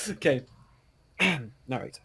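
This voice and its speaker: A man's voice: high-pitched, fairly bright tone, husky. A man chatting casually as a volunteer voice actor, with a hesitant, apologetic manner. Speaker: volunteer voice actor at a table read. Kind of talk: casual chat.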